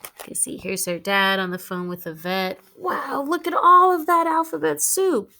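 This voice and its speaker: A woman teacher reading a story aloud to students in an expressive, instructive manner. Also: high-pitched, normal speed. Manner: expressive, instructive, addressing children.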